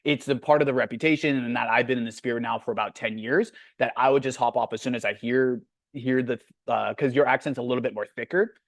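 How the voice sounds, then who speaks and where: A man's voice: medium pitch, steady tone, fast talker, no echo. Blunt Canadian man coaching on a call. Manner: blunt, frank, with many fillers. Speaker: sales coach hosting a Q&A.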